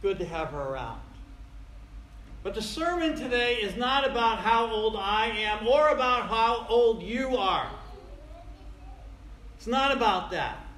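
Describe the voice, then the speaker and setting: A man's voice: sits high, expressive, unhurried, long pauses, fairly bright tone. Older guy in his sixties preaching, folksy and chatty, repeats himself for effect, roomy echo, faint noise behind. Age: 60s. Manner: folksy, conversational, repeats phrases for emphasis. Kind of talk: sermon with rhetorical questions and asides. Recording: echoey room, faint background noise.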